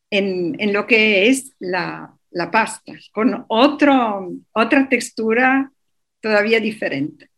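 A woman guest on a radio interview, explaining at length with many hesitations and restarts. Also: mid-range voice. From Europe.

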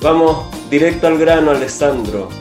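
A man's voice: on the high side, expressive, normal speed, darkish tone, echoey room, faint music behind. A man hosting a radio interview, asking questions and dropping short acknowledgements. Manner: brief, affirming interjections. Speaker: radio host.

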